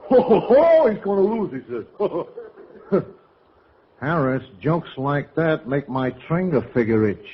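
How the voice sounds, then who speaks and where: An actor playing a brash, crooked gangster scorekeeper who talks in slangy, mangled tough talk in a vintage radio comedy. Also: male voice, a little high, sing-song, slow. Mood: cheerfully menacing. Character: crooked gangster scorekeeper, wisecracking, cheerfully menacing man. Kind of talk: 1940s-50s radio comedy acting. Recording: dull vintage radio, audience, heavy noise.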